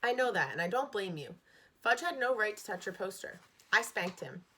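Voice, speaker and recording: A woman reading as a patient mother, calm and gentle yet firm. Her tone is clear and bright, never rushed. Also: medium pitch. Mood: soothing.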